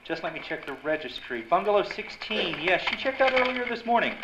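An actor playing a polite, fussy motel desk clerk in an old-time radio drama. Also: male voice, high voice, big pitch swings, brisk. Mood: obliging. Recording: dull, noisy, echoey live stage recording.

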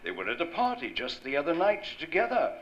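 A sleazy Hollywood big shot in old-time radio drama style. The bright, forceful voice swings dramatically from low threats to mocking highs, smug and condescending throughout. The sound is slightly dull and lo-fi. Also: male voice, wildly swooping pitch, fast talker. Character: sleazy, menacing Hollywood film producer preying on a young actress.

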